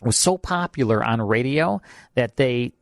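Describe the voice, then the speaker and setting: A man's voice: medium pitch, animated, moderate pace, gravelly, no room sound, clean studio sound. A man with an American accent hosting a radio show in a casual, chatty and emphatic way. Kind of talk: casual radio banter and introductions. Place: radio studio.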